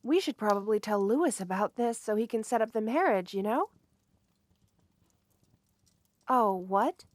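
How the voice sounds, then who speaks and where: A woman playing a shy, sweet, lovestruck young woman in an intimate ASMR romance roleplay, gentle and flustered, often hesitating mid-phrase. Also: medium pitch, dry recording, natural voice. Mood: happy, reassuring.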